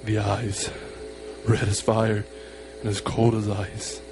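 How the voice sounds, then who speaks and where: Slow, solemn man narrating horror. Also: lowish voice, monotone, music in the background. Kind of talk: dramatic scripted horror narration for amateur radio play.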